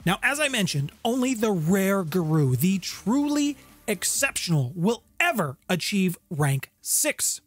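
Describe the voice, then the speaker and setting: A man's voice: high voice, big pitch swings, measured pace. A middle-aged man presenting a scripted explainer on game lore, with playful, theatrical emphasis, close on a studio mic. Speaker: host of a tabletop game lore channel. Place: home room with guitars, indoors. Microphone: close studio condenser mic with pop filter. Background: background music.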